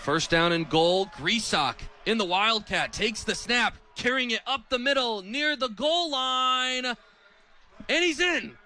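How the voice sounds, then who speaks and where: A young American man calling live football play-by-play on radio, speaking in crisp, clipped phrases. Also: very high voice, takes it slowly, faint music behind. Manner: crisp, clipped phrases that build to excited calls on big plays. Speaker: play-by-play radio sports announcer.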